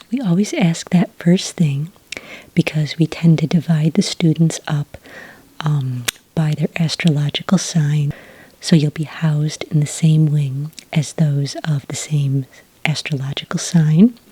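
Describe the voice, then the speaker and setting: Female ASMR performer as a gentle witch registrar: whispery, airy, very unhurried, soft-spoken and polite. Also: deep voice, fairly even intonation. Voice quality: breathy, whispery. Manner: gentle, slow, hesitant official patter. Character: polite, patient enrollment witch at a magical academy. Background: computer keyboard typing.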